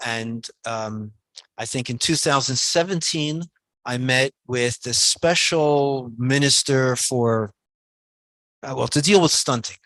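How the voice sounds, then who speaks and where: A man giving a guest lecture, answering audience questions in a halting, soft-spoken way with many pauses and restarts. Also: mid-range voice, no room sound, poor audio.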